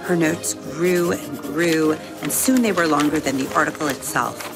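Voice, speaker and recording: Woman narrator for a kids' bedtime story: low voice, expressive reading that slows on key words, music bed underneath. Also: rough recording. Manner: expressive, slowing and softening on vivid words. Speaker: storybook narrator and episode host. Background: soft music under the reading.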